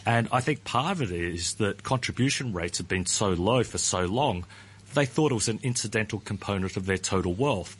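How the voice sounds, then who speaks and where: A man's voice: on the low side, expressive, moderate pace, slight background noise. A man being interviewed as a guest about pensions. He hedges, often starts with "look" and repeats his words. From Australia. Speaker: pension ratings firm founder.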